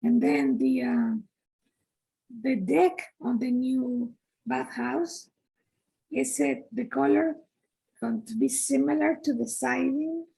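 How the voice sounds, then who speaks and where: A woman with a high, badly hoarse and husky voice puts questions at a board meeting. Her delivery is slow, halting and polite. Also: steady tone, warm tone, poor audio. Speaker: board member asking questions.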